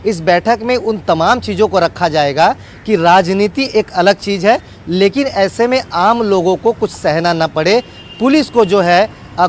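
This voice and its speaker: A man's voice: high voice, a little hiss or hum. A man giving a wordy, unscripted live news report as a field reporter, often repeating set phrases.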